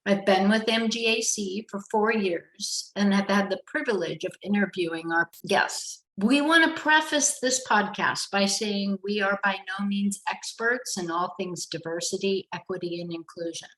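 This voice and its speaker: Host, woman, measured and friendly, roomy echo around the voice. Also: medium pitch, a bit bright. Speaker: podcast host. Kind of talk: podcast interview.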